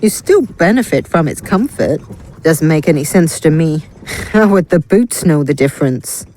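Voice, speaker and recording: A woman's voice: low-pitched, expressive, moderate pace, mellow, slight background noise, rough recording. A woman playing an inquisitive, stubborn warrior in a fantasy audio drama, questioning and confident. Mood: skeptical.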